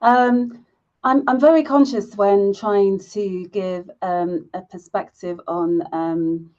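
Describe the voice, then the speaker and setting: Mellow, level-voiced woman. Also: mid-range voice, steady tone, muffled recording. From England. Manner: measured and deliberate, many pauses with "um". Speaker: panelist. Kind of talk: panel discussion over video call. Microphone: video call.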